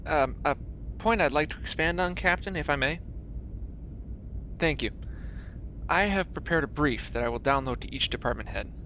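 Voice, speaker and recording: A man's voice: a little high, expressive, normal speed, long pauses, pushed and bright. A formal, self-deprecating diplomatic advisor played by a man chooses his words carefully and deferentially. The sci-fi audio drama recording is slightly dull, with faint noise. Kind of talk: fan audio drama acting.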